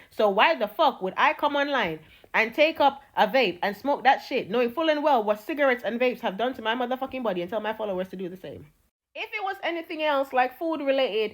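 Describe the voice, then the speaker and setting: A woman talks fast and loose, peppering every thought with swearing. Her voice sits high and she stays animated and blunt throughout, like a creator ranting straight to her followers. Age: 30s. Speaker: social media creator. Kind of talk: casual storytime rants.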